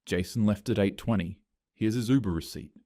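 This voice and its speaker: A man voicing a calm, pointed male coworker confronting an HR manager. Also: on the low side, a little fast, natural voice. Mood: cold, pointed.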